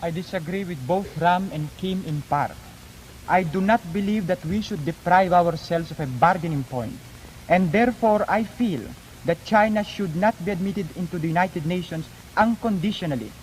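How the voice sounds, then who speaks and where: High-voiced Filipino male orator. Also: normal speed. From Philippines. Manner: declamatory, articulate. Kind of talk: formal debate, oratorical. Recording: noisy 1950s archive broadcast recording.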